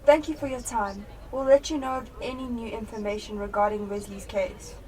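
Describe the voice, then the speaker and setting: A woman plays a cynical female police detective in a student radio drama. Her voice is fairly high and brisk in manner, heard from a little distance from the microphone. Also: steady tone, darkish tone, natural voice. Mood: businesslike.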